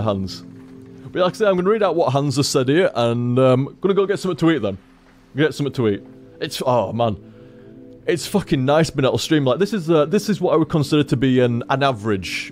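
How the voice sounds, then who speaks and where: A man's voice: on the high side, darkish tone. A man streaming, chatting casually as he reads out viewer tips, rambling, repeating himself and swearing freely.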